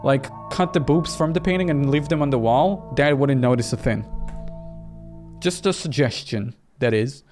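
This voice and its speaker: A man hosting a game playthrough, reacting in an animated way as he reads and comments. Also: mid-range voice, normal speed. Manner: reactive, animated, acts out dialogue while reading. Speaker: gaming video host. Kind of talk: playthrough reading and live commentary. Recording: music behind the voice.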